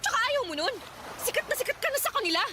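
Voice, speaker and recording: Shrill, fierce young mother. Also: lively intonation. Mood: furious, scolding. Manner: loud, scolding, rapid-fire rhetorical questions. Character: fierce, exasperated young mother scolding her irresponsible partner. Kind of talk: Tagalog radio drama acting. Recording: noisy street ambience with vehicles.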